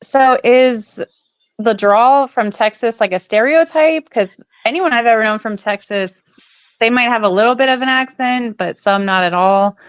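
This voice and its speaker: A woman's voice: a little high, unhurried, clear, resonant tone, dry recording, muffled recording. A woman in a casual chat as co-host, asking curious questions and telling stories with giggles.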